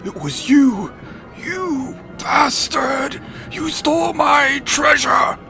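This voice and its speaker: A man's voice: very high-pitched, expressive, very slow, vocal fry, music in the background, exaggerated voice. A man playing an unhinged, stammering old dwarf who desperately rants in an audio drama. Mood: furious accusing. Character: ranting homeless old dwarf man claiming dragonhood. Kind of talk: audio drama character acting.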